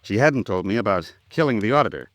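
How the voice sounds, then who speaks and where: A hesitant, lowish-voiced company man. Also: lively intonation, a bit bright, no room sound. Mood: awkwardly grateful. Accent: American. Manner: hesitant, halting, courteous. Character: polite, uneasy male company man hiding guilt. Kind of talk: 1950s radio drama acting.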